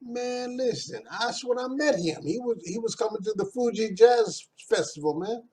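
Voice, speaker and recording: A man's voice: extremely high pitch, normal speed, darkish tone. A man hosting a casual interview, eager and friendly, full of slang as he trades stories with his guest. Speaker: host.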